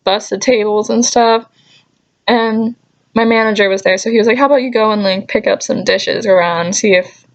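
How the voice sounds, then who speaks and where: A young adult woman telling casual, chatty stories in an animated, exasperated way, with sarcastic asides and mild swearing. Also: fairly high pitch, dull audio. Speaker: storytelling vlogger. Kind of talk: casual anecdote vlog, chatty.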